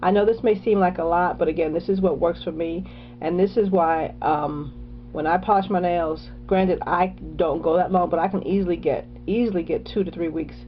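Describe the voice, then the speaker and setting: A woman's voice: fairly low pitch, dull audio. A woman casually talking through her nail care products, chatty, with many fillers and restarts. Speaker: beauty vlogger.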